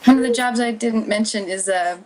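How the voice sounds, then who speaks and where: A woman's voice: fairly quick, crowd noise. A woman guest chatting casually in an interview over a webcam video call from a room at home. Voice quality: says her voice is relatively low. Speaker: guest, maid cafe performer.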